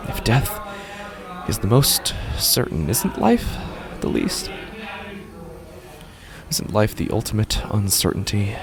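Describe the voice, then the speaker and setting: An actor playing an anxious, cynical, philosophizing man in a horror audio drama, with a brooding, halting delivery full of questions and pauses. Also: low-pitched, gravelly, full character voice. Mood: agitated questioning. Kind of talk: first-person dramatic monologue, horror audio fiction.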